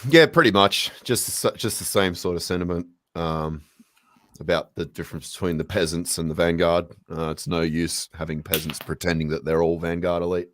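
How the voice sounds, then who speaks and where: Deep-voiced blunt male guest, dry close sound. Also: fairly even intonation, brisk, dry recording. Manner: blunt, swears freely. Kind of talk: casual political chat.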